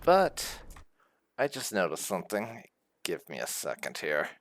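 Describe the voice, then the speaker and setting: A man's voice: low voice, huge pitch swings, measured pace, a little hiss or hum. A man hosting a let's play, giving casual, rambling gameplay commentary in an informal way. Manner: rambling, informal, with occasional swearing.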